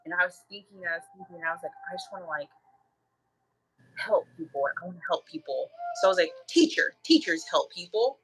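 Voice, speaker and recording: A woman co-hosting a relaxed conversation, who works in mental health, laughs often and acts out things she once said. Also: lowish voice, brisk, a little hiss or hum, poor audio. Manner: laughs often, acts out her own past remarks. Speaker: co-host, mental health worker. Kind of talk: casual conversation.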